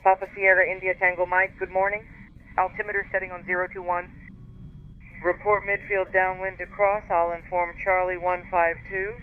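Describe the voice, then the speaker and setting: A male tower controller reads clipped clearances in a high, flat monotone. His voice arrives thin and tinny over a radio link, with a faint hiss underneath. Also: moderate pace. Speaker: air traffic controller. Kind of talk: radio phraseology.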